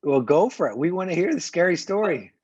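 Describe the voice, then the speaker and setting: A man's voice: high voice, sing-song, fairly quick, a little mellow, breathy edge. An Irish-accented man hosting a conversational podcast interview as a pastor, speaking in an earnest, appreciative way. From Ireland. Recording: dull, slightly lo-fi audio.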